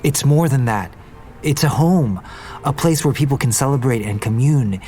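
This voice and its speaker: A man's voice: medium pitch, expressive, moderate pace, warm tone, soft background music, close to an everyday voice. An actor playing an idealistic young male business heir in an audio drama, earnest and conversational. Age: young adult.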